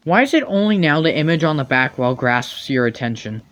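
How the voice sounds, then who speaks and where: A man's voice: on the high side, lively intonation, brisk, a bit bright. A man delivering critical video commentary in a sarcastic, nitpicking way. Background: faint music.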